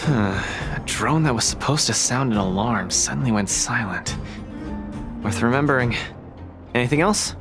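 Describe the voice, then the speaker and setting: A man's voice: medium pitch, normal speed, long pauses, over music, lightly acted. A young man playing a confident, brash and friendly muscular young fighter in a video game. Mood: pondering. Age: young adult. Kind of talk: scripted game voice acting.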